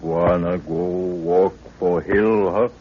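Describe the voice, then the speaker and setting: An actor playing a loyal, wary African camp servant who speaks pidgin English in a dull 1930s radio drama recording. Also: male voice, sits low, fairly even intonation, slightly rough, full character voice. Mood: deferential. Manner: slow pidgin English, clipped grammar.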